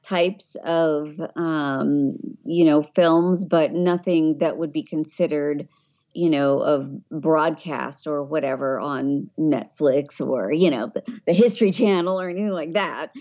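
A woman's voice: deep voice, measured pace, mellow, dull audio. A middle-aged woman, chatting as an interview guest and telling her story conversationally. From Tennessee, USA. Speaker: interview guest, voiceover artist.

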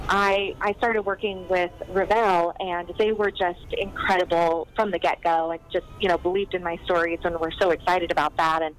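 A woman's voice: mid-range voice, slight background noise, rough recording. A woman novelist chatting as a podcast guest, enthusiastic and talkative, with frequent ums and easy laughter. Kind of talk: casual podcast interview chat.

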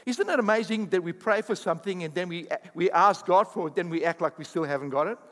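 High-voiced emphatic preacher man in a hall. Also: fast talker, a bit bright. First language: not English. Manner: emphatic preaching with rising bursts and asides. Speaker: pastor. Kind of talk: sermon.

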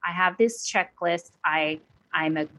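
Woman, 30s, from Oklahoma; low-pitched, candid podcast guest talking it through. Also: unhurried, pushed and bright, slight background noise. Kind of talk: conversational interview.

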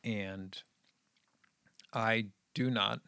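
A man's voice: fairly low pitch, fairly even intonation, extremely slow, creaky, sharp tone, clean tone, no echo, studio quality. A middle-aged man talking casually and earnestly about his schooling and family, often trailing off. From New England, USA. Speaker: guest. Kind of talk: casual conversation.

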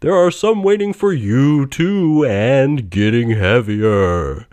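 A man voicing the chained ghost of a dead partner, speaking in a slow, drawn-out, booming way. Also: lively intonation, a little mellow, no echo. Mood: warning.

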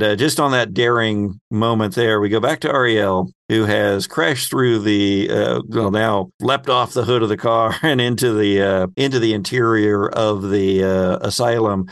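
Slow-paced, gravelly man as game master. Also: on the low side, no echo, studio quality. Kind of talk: improvised narration of a tabletop game.

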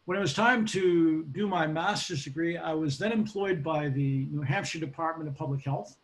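An older man with a Boston accent speaks in a slightly raised register. He talks in a measured, hesitant way, full of ums and restarts. He comes across as an interview guest telling his story. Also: fairly high pitch. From Boston, United States. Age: 60s. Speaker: guest, occupational safety expert. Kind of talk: casual interview.

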